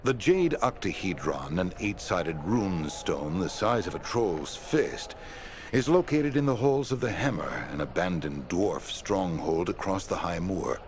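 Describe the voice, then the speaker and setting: Deep-voiced, composed quest patron. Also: male voice, animated, noisy background. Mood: composed, authoritative. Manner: measured, formal, authoritative. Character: shrewd, composed male quest-giving patron. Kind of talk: video game dialogue acting.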